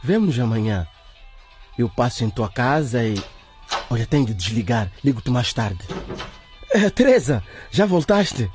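A man playing a smooth-talking, evasive ladies' man in a radio drama. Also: medium pitch, very animated, measured pace, pauses often, a little mellow, soft background music. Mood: flirty, hurried.

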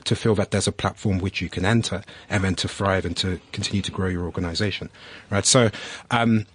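A man's voice: sits low, steady tone, brisk, a little hiss or hum. A man who grew up in the UK, speaking as an entrepreneur on a discussion panel in a thoughtful, explaining way. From United Kingdom. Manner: thoughtful, explanatory, frequent "right?" tags.

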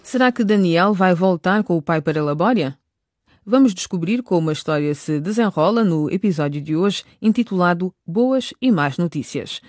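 A woman's voice: lowish voice, expressive, moderate pace, darkish tone, dry recording, clean studio sound. A woman narrating a radio drama from a script.

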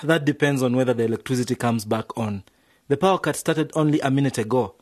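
An actor playing a cautious, level-headed music producer in a radio drama, speaking in a measured, slightly hesitant way. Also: male voice, mid-range voice, dry recording, clean studio sound, lightly acted. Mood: easygoing.

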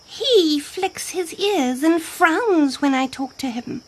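Lady doing a squeaky huffy antelope gossip for a kids story, swoopy and complaining. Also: expressive, slow pace. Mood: dismissive. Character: small complaining antelopes and buffalo, indignant gossips. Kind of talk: children's animal folktale character.

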